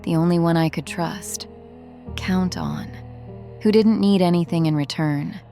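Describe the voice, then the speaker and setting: A woman playing a haunted, protective former street criminal in a drama, speaking in an earnest, halting, confessional way. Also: on the low side, mellow, faint music behind. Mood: tender, resolute. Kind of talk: dramatic audio drama acting.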